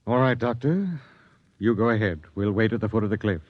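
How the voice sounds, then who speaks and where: An actor playing a stern, authoritative space captain who speaks with measured dignity in a 1950s radio drama. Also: male voice, lowish voice, animated, no room sound, muffled recording. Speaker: captain and narrator. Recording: dry, band-limited 1950s radio recording.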